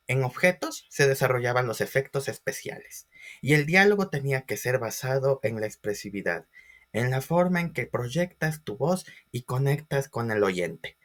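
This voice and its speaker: A man presenting an informal online class as a teacher, expressive and speaking directly to his listeners. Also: medium pitch, moderate pace. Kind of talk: informal educational lesson for video.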